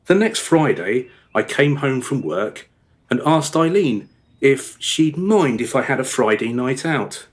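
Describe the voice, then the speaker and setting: Actor playing a remorseful father, animated rambling storyteller. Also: medium pitch, a little slow. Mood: sheepish, confiding. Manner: rambling, over-descriptive, hesitant reminiscing. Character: remorseful married father confessing past affair to his daughter. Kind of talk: radio play dialogue, storytelling monologue.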